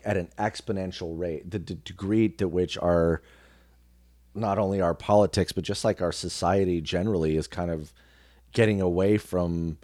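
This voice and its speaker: A man speaking as an interview guest in a casual, reflective conversation, earnest and halting, often repeating words as he goes. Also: sits low, a little mellow, no room sound, clean studio sound. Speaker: radio personality and actor, interview guest.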